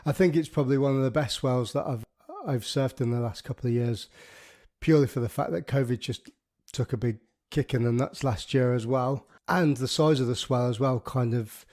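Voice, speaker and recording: Matey surf-podcast host chatting. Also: male voice, mid-range voice, moderate pace, darkish tone. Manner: matey, chatty questions with tag endings. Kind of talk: casual interview chat.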